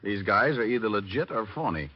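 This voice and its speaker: Wisecracking low-voiced American private eye. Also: male voice, lively intonation, brisk. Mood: glib, flirtatious. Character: wisecracking, flirtatious male private detective. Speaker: lead actor and narrator of detective radio drama. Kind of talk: golden-age American radio acting. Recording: muffled old radio recording.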